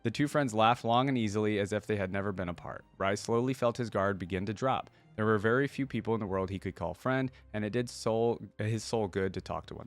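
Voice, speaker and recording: A man reading a fantasy story aloud expressively and adding casual remarks as a reaction host. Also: lowish voice.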